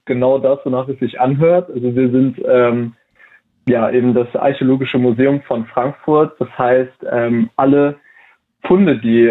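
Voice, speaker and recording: Young man on a tinny line, hesitant. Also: medium pitch. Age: 20s. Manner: hesitant, many fillers and restarts. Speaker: interview guest, volunteer at a museum. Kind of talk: casual interview answers.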